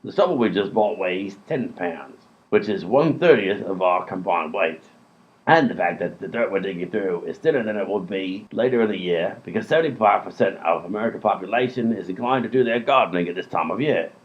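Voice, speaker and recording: Man playing a low-voiced pedantic robber. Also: animated. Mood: pedantic, deadpan. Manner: deliberate mock-reasoning. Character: pedantic, long-winded, clueless male robber. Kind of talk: comic radio play acting. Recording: muffled lo-fi sound, music behind.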